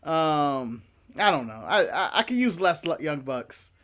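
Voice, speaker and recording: A man's voice: fairly high pitch, big pitch swings, unhurried, a bit bright. A man co-hosting a casual wrestling review podcast, joking and laughing often. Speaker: podcast co-host.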